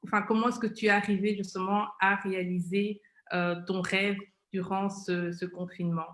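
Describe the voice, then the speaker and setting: Woman presenter on a live interview show, echoey room, halting phrasing, mid pitch. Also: level tone, a bit bright. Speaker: webmagazine founder and show host. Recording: roomy, echoing live-stream sound.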